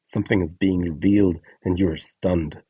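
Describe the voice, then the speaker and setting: Deep, mellow, husky man presenting a guide. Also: fairly even intonation, moderate pace, no echo. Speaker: presenter of an audio donation guide. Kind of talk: scripted spoken guide, announcing prompts with short example scenes.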